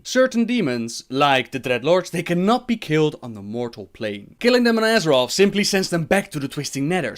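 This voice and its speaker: A man's voice: a little high, a bit bright. A man narrating game lore in a scripted video style.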